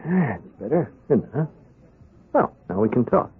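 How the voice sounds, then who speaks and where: An animated, wheedling young American hustler. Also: male voice, medium pitch, moderate pace, husky, phone-quality sound. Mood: coaxing. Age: young adult. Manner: slangy, hesitant, nudging, tags phrases with "huh". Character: eager, wheedling young small-time hustler in his twenties. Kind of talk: old-time radio drama acting. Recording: band-limited radio drama with music.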